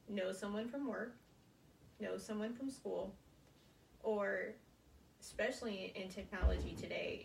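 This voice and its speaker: A young American woman giving a prepared informative speech as a student, speaking haltingly in short phrases, recorded at a distance in a room. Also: medium pitch, vocal fry. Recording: roomy, distant mic, faint noise.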